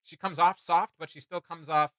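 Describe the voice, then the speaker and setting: A man's voice: a little high, fairly even intonation, normal speed, a bit bright, dry recording. A man co-hosting a reaction video, chatting casually in a rambling, enthusiastic way about what he likes. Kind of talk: casual opinion chat with co-host.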